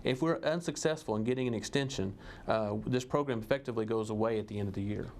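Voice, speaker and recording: A man's voice: fairly low pitch, fast talker, darkish tone, a little hiss or hum. A man giving explanatory remarks in a news interview.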